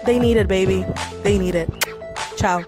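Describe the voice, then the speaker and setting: A woman's voice: medium pitch, normal speed. A woman from Rico, giving an impassioned vlog monologue in an emphatic, half-sung way. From Puerto Rico. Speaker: video creator. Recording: loud hip hop music underneath. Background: hip hop music.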